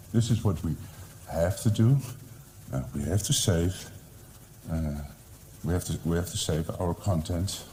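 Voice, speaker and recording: Dutch-accented man, very low voice, mellow tone, hesitant fillers, faint room ambience. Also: a little hiss or hum. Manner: hesitant, many fillers and restarts. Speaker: library department head. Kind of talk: conference presentation. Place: conference room.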